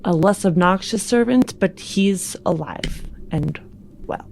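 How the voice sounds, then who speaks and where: Courteous, hesitant female spacefarer, played by a woman, sincere and plain-spoken, lowish with vocal fry, sci-fi tabletop game. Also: animated, slow, a little mellow, slight background noise, exaggerated voice. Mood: polite, hesitant. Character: earnest, polite woman space traveler, adoptive mother. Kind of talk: improvised in-character tabletop roleplay.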